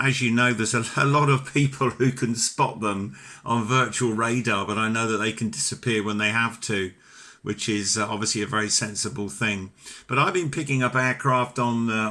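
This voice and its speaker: A man's voice: lowish voice, bright, forceful tone. A British man chatting as an amateur radio operator, enthusiastic, courteous and talkative in a conversational radio exchange. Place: home ham radio station.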